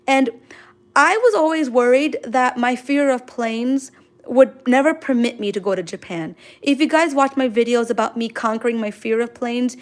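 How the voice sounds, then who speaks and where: A woman from Queens, chatting casually and effusively on a livestream as she answers viewer comments, full of exclamations. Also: a little high. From Queens, New York City, United States. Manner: gushing, effusive, sprinkled with exclamations. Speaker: manga artist hosting a drawing livestream.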